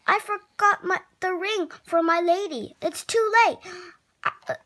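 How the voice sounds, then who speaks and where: A reader as earnest, high-voiced young prince. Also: measured pace, bright, forceful tone, exaggerated voice. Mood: regretful. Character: sad, grateful young storybook prince.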